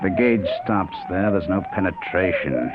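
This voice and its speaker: An actor playing a hesitant, preoccupied middle-aged physics professor in old-time radio style, with an American accent. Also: male voice, lowish voice, huge pitch swings, a little slow. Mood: absorbed, frustrated. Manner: hesitant, muttering, swinging emphasis. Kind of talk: 1940s radio acting. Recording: noisy, tinny old radio recording.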